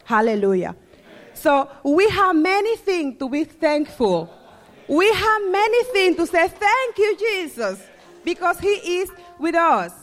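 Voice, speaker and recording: A woman's voice: very high voice, very animated, measured pace, soft background music. A woman from the Dominican Republic with a Spanish accent, giving a joyful church testimony that she keeps breaking with hallelujahs. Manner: joyful, laughing, repeating hallelujah. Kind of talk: church testimony and short sermon. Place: church hall. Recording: long hall reverb.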